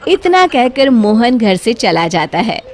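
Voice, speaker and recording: A woman's voice: on the high side, sing-song, measured pace, noisy background. A woman narrating a scripted moral story for children.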